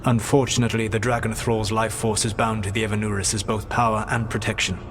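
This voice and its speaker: An actor playing an ancient elven mage in a fantasy game, speaking in a measured, formal, solemn and regretful manner. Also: male voice, lowish voice, little pitch movement, background noise, lightly acted. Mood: grave, scornful. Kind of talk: scripted fantasy game dialogue.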